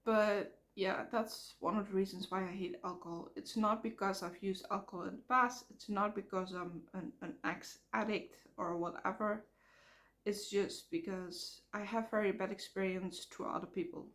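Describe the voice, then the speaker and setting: A woman vlogging outdoors by a road, talking frankly in a halting way while she comes down with a cold and her voice cracks. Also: medium pitch, fairly even intonation, fairly bright tone. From Netherlands. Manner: frank, halting, repeats words. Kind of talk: casual rambling vlog. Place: outdoors near traffic.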